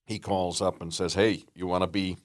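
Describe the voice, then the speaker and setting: A middle-aged man with a deep, low voice talks as a podcast guest, wandering through his stories with plenty of ums and false starts. The recording is dry and close. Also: flat delivery, dry recording. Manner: rambling, halting, lots of ums and restarts. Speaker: guest, actor and comedian. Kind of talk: casual chat.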